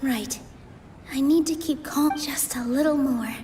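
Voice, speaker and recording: Young theater dancer, game character, very high voice, soft, earnest, heartfelt, faint background noise. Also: female voice, measured pace. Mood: earnest, restrained. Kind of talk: video game voice acting.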